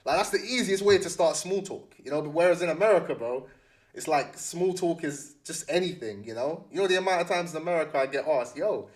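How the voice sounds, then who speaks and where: A man's voice: on the high side, expressive, fairly quick. A young man with a London accent chatting casually to livestream viewers, slangy and often repeating phrases for emphasis.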